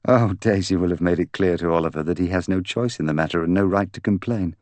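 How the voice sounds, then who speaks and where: Audiobook role: proud young woman, bitter and candid, intense delivery, low voice performed by a man. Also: fast talker, a little mellow, no room sound, natural voice. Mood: scornful. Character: proud, bitter young woman confessing to killing her fiancé. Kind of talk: audiobook character dialogue.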